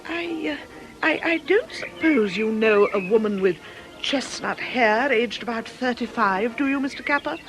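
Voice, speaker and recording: A woman's voice: a little high, expressive, a little slow, music in the background. A woman with a British accent playing a polite, earnest, hesitant church deaconess in a radio drama. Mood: anxious, hesitant.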